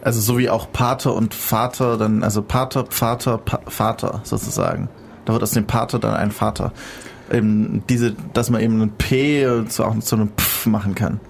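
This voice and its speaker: Brief: male co-host, low gravelly voice, joking, faint noise. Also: fairly even intonation, moderate pace. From Swabia. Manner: joking, teasing interjections. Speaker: podcast co-host. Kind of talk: casual podcast chat.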